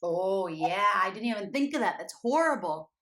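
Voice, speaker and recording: A woman hosting a podcast, chatting casually and expressively with her guest. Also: medium pitch. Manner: supportive, expressive, quick affirmations. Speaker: podcast host. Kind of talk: casual conversational interview. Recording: roomy echo.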